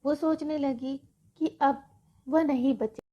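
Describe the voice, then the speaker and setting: High-voiced, very slow woman storyteller. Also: fairly even intonation, lo-fi sound. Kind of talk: narrated children's moral story.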